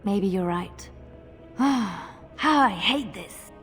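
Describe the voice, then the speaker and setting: An actress playing a gentle, compassionate woman in a fantasy video game, with a pleading, soft-hearted delivery. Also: medium pitch, expressive, drawn-out words, faint music behind. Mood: pleading, sorrowful.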